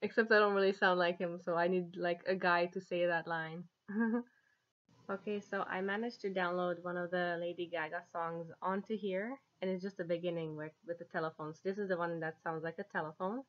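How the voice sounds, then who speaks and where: A woman's voice: fairly low pitch, fairly even intonation, a little fast. A woman in her twenties chatting casually and giggly as she demonstrates an app in a vlog. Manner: giggly, amused. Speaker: app reviewer. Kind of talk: casual vlog chat to camera. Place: small home living room, indoors. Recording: dull lo-fi webcam sound.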